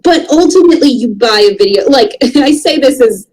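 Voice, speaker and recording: Animated woman co-hosting a casual chat. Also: high-pitched, moderate pace, low-quality recording. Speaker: panelist and co-host. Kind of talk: casual chat, giving opinions.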